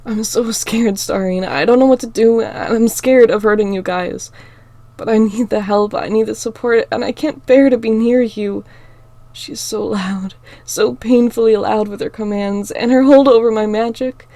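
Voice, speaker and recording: Sobbing young demon girl, read by a woman: breaking, pleading, low and rough. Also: steady tone, normal speed, mellow, no room sound, lightly acted. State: crying.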